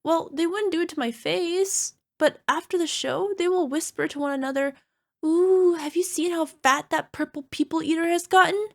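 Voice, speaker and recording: A woman plays a self-conscious monster girlfriend with a high-pitched, creaky voice. She speaks conversationally, as in an ASMR roleplay, sounding insecure and dramatically, playfully exasperated with her darling. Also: moderate pace. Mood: self-conscious, gossipy. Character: insecure chubby flying purple people eater girlfriend, a cyclops monster.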